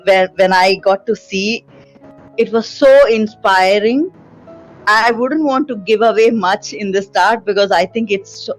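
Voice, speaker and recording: A woman hosting an online interview, admiring and quick to laugh, speaking into a headset mic from a small room. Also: mid-range voice, poor audio. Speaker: interview host. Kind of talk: casual video-call interview. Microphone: headset mic on a video call.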